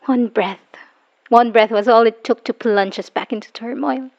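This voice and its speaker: A woman's voice: fairly high pitch, steady tone, close to an everyday voice. Muffled, wounded woman, acted, bitter and emotional. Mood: bitter, hurt. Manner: emotional, halting, sighing, dropping into whispers. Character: wounded, bitter woman leaving her abusive, obsessive partner. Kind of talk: dramatic ASMR audio roleplay monologue, voicemail confession. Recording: dull lo-fi voice recording.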